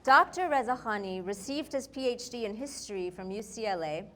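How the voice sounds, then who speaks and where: A woman's voice: mid-range voice, animated, fairly quick, a bit bright. A woman moderating a conference panel, formally reading a speaker's introduction. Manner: formal, reads a prepared introduction. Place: lecture hall.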